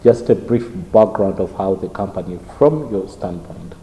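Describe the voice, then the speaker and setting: A man's voice: deep voice, animated, moderate pace, mellow. A man interviewing a guest, asking long, formal questions with fillers. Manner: formal, wordy phrasing with fillers. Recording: echoey hall with faint noise.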